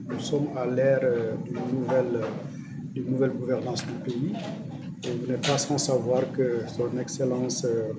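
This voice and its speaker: Loud ambient noise surrounds a man with a Senegalese accent and a mid-pitched voice. He speaks as an official to the press, unscripted yet formal, explaining things in a didactic way. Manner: formal and didactic, posing and answering his own questions. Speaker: government official.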